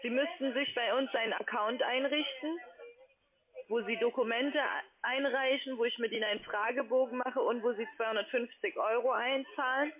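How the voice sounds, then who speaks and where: A woman's voice: on the high side, moderate pace. A woman speaking in a curt, impatient way as a call center agent on a phone call from an open-plan office. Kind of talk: phone sales call turning into argument. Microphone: telephone.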